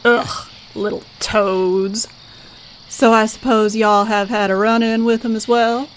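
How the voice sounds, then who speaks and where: A folksy squirrel hotel keeper, played by a woman in an audio drama. The voice sits fairly high and sounds hospitable and briskly protective. Faint night insects are heard behind it. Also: flat delivery, a little hiss or hum, low-quality recording. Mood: grumbling. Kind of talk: audio drama character acting.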